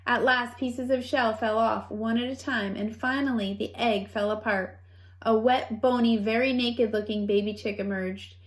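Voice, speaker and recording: A woman reading a children's story aloud in an expressive manner, with playful asides to young listeners. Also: on the high side, normal speed. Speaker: storybook reader.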